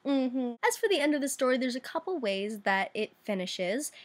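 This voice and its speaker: High-voiced woman vlogger telling stories casually. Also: big pitch swings, moderate pace. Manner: wry asides, informal slang. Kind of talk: casual, chatty storytelling.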